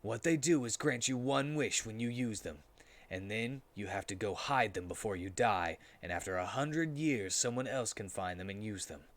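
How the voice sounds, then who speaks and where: Guy putting on a low quiet brooding cartoon hedgehog, dark and solemn, very serious speechmaking. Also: moderate pace, lightly acted. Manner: solemn, dramatic speech-making.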